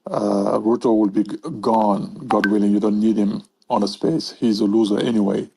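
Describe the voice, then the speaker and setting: A man from West Africa calling in to a live audio chat, speaking earnestly and haltingly with many fillers. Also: on the low side, flat delivery, creaky, background noise. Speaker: caller. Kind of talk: call-in opinion. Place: calling in from a remote line.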